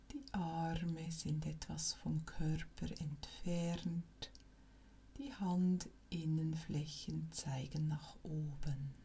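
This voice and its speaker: A woman leading a guided yoga nidra meditation as instructor, speaking softly, almost in a whisper, in a calm and soothing manner with long pauses between short phrases. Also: low voice, a little hiss or hum. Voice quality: soft, breathy, near-whisper.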